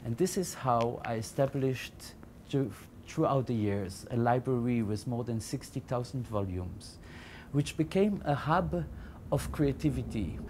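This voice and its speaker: A middle-aged man from Belgium, telling his life story in a reflective, halting way, often repeating words. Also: medium pitch, lively intonation, darkish tone, slight background noise. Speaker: interviewee, founder of a Yiddish library. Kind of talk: personal testimony to camera.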